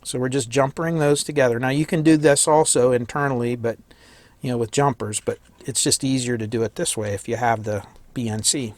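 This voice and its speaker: A man with a slightly hoarse voice giving a casual hands-on electronics tutorial, thinking aloud and correcting himself as he works. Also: medium pitch, steady tone, brisk, dry recording. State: slightly hoarse, losing his voice. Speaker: electronics hobbyist demonstrating a kit.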